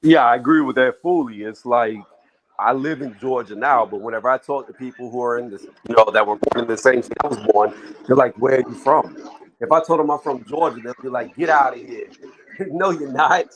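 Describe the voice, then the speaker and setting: A man hosting a casual boxing talk show, reasoning aloud in a relaxed conversational way. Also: medium pitch, a little fast, rough recording.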